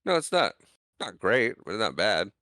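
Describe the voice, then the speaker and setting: Smooth-voiced man recapping a film. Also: on the low side, moderate pace, no echo, clean studio sound. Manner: animated storytelling, retells scenes with quoted lines. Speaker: podcast co-host. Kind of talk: casual chat recapping a film.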